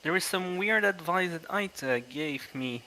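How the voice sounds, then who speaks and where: A man narrating a horror game on a livestream, reading aloud deliberately with pauses. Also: high voice, lively intonation, pushed and bright, slight background noise, low-quality recording. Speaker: streamer reading a visual novel aloud.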